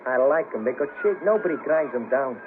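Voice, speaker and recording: Down-on-his-luck ex band manager, male, played by an actor: Merseyside, gloomy and hesitant, varied intonation, 1970s radio play, clear tape noise. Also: mid-range voice, lively intonation, a little fast, natural voice. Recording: hissy narrow-band cassette of 1970s radio broadcast.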